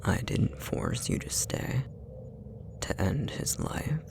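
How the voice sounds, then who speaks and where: Man as a slow, gravelly whispering demon. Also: low-pitched, huge pitch swings, noisy background, full character voice. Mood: cold, accusing. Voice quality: breathy whisper with gravelly fry. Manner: slow, deliberate, taunting, condescending. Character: possessive, manipulative male puppetry demon, coldly menacing. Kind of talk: ASMR roleplay, intimate monologue to the listener.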